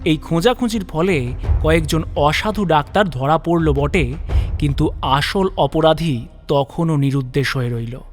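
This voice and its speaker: Male narrator for a crime audio story, slightly high voice, dramatic scripted delivery, light music bed. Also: unhurried.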